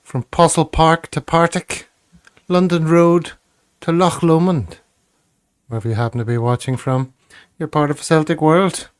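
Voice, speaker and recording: A man's voice: a little high, fairly even intonation, moderate pace, clean tone, no room sound. A man hosting a casual football podcast, upbeat and chatty as he greets guests and reads out comments. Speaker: podcast host.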